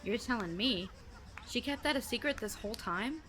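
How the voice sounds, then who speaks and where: A woman's voice: fairly high pitch, lively intonation, moderate pace, a bit bright. Sarcastic, loyal teenage girl played by a woman. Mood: incredulous, teasing. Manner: teasing, blunt, slangy. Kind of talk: audio drama acting.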